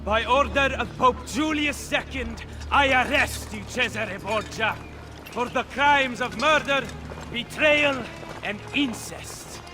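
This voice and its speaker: An actor playing a stern papal officer in a video game, formal and declamatory. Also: male voice, extremely high pitch, slow, music in the background. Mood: stern, official. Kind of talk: video game cutscene acting.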